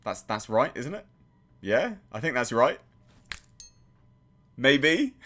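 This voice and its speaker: A chatty man from England hosts his own livestream, reading comments and rambling in a self-deprecating way. His voice is on the low side but bright, and it swoops up and down with a lot of life. He talks into a desk mic in a home setup. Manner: chatty, self-deprecating, lots of restarts and fillers. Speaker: channel host reading live chat. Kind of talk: casual livestream chat.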